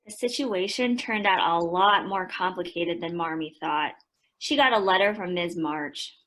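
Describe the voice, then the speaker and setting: A woman's voice: fairly low pitch, a little slow, a bit bright, rough recording. A woman acting a frank, bookish tomboy teenager in a radio play, direct and matter-of-fact. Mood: concerned.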